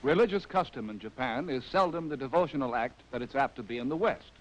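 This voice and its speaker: Animated American man, vintage newsreel-style narrator. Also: medium pitch, quick pace, a little hiss or hum, rough recording. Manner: wry, arch, theatrical phrasing. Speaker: narrator of a military training film. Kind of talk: scripted 1940s documentary narration. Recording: vintage 1940s film soundtrack.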